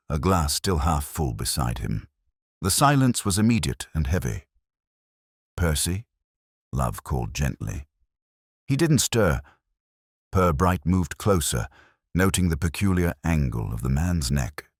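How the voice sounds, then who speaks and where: A man narrating a scripted mystery story in a measured, wry storytelling manner. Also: very deep voice, slightly rough, no echo, studio quality.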